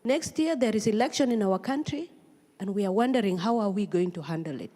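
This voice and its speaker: A woman from South Sudan, an audience member, asks a panel an earnest, pleading question with pauses and fillers. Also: mid-range voice, lively intonation, mellow. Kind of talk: spontaneous public question at a forum. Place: large conference hall. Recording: long reverb of a conference hall.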